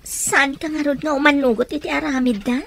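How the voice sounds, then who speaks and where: High-pitched woman, Ilocano Filipino accent, acting as questioning young family member, radio drama. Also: steady tone, brisk, vocal fry, a bit bright. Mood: concerned.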